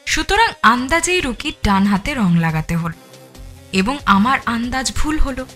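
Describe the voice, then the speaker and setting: Scheming killer wife, audio drama, composed menace, contemptuous, bright edged voice, animated intonation, music behind. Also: female voice, on the high side, moderate pace. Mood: calm, calculating. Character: cold, scheming murderous wife holding a man at gunpoint. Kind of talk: dramatised audio thriller acting.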